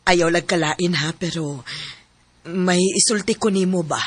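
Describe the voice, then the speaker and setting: A woman's voice: fairly low pitch, measured pace, dry recording. An actress playing a concerned, tattling neighbour woman confiding news in a radio drama. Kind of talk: radio drama acting in Cebuano.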